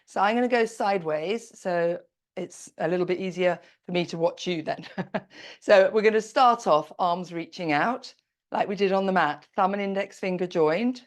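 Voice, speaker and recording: A woman teaching a slow-flow yoga class, calmly cueing each pose while doing it herself, her voice a little croaky. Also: fairly low pitch. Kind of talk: slow guided yoga instruction.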